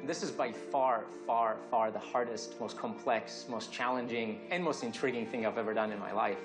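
A man's voice: mid-range voice, moderate pace. Guy dev in promo video, really stressing his words, music behind. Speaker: technology developer. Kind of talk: promotional interview testimonial.

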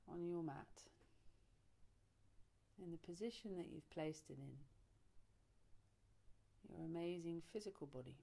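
A woman leading a guided relaxation meditation, soothing and unhurried, speaking in short phrases with long pauses. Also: on the low side, creaky, mellow. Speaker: yoga nidra teacher, meditation guide.

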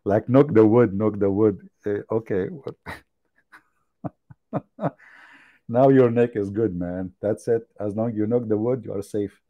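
A man's voice: lowish voice, measured pace, long pauses, phone-quality sound. A man hosting a livestream, joking and mocking as he talks and laughing at his own jokes. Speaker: online religious debate host. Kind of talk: casual livestream commentary.

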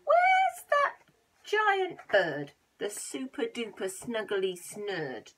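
A woman voicing a tall, lanky male thief who schemes in slow, drawn-out lines, read aloud from a children's storybook. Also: fairly high pitch, wildly swooping pitch, fairly bright tone, slightly rough, exaggerated voice. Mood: eager.

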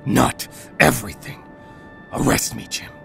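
An actor playing a bitter, menacing fallen district attorney in a radio noir drama, gravelly and whispery. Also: male voice, mid-range voice, measured pace. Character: scarred, bitter, menacing former district attorney turned killer. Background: music.

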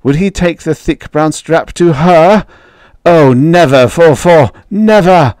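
A man's voice: a little high, slow pace, darkish tone, no room sound, pitched above the performer's own voice. A man voicing an emotional young boy devoted to his robot, exclamatory and childlike, in a story. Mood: frightened, pleading.

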